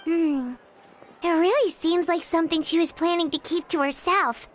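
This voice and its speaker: A woman's voice: very high voice, moderate pace, very noisy. A woman voicing a small, childlike, curious fairy companion in a video game. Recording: music behind the voice.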